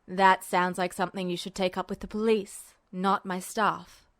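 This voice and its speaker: A woman voicing a calm, bored male officer in a podfic reading, speaking in a dry, unimpressed way. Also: mid-range voice, normal speed, no echo, voice pushed down, close to an everyday voice. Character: bored, reasonable male military superior. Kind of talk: podfic reading of fan fiction.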